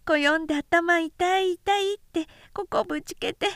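Storybook toddler boy, played by a woman: high pitch, lisped syllables, earnest and stubborn. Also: a little slow, a bit bright, dry recording, studio quality, exaggerated voice. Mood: insistent. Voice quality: babyish lisping, mangled syllables.

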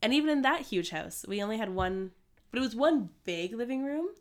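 A woman co-hosting a casual podcast with a stuffy, hoarse voice from a cold. She rambles and fills her speech with "like" and "um". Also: fairly high pitch, expressive, brisk. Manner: rambling, many fillers like "like" and "um". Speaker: podcast co-host. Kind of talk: casual chat.

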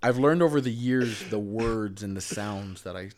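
A man's voice: lowish voice, normal speed, darkish tone. A man who stutters, a podcast guest talking earnestly and with emphasis in a casual conversation.

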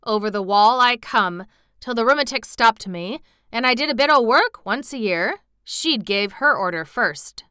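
Woman acting crabbed old Yorkshire gardener, bright and emphatic. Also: a little high, animated, smooth, no echo, pitched above the performer's own voice. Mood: tender, stubborn. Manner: dry, grumpy, slow country dialect. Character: crabbed, dry-humoured old Yorkshire gardener, stubborn but tender.

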